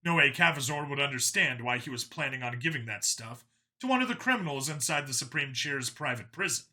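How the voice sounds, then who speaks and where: Bright, forceful male story narrator. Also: mid-range voice, animated, brisk. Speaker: audiobook narrator. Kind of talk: dramatic scripted narration.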